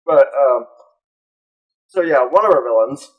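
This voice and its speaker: A man's voice: mid-range voice, expressive, measured pace, phone-quality sound. A man co-hosting a casual comedy podcast, joking crudely and irreverently in loose conversation. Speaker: podcast co-host.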